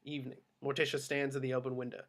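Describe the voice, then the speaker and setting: A man's voice: mid-range voice, flat delivery, very fast, fairly bright tone. A man reading screenplay action descriptions as scripted narration at a table read. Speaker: reader of stage directions.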